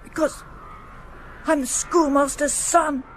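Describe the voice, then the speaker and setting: A woman acting a young schoolboy in a radio play. The voice is high, guarded and hesitant, lonely and defensive, with noticeable background noise. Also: steady tone, slow pace. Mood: upset. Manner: hesitant, short guarded answers.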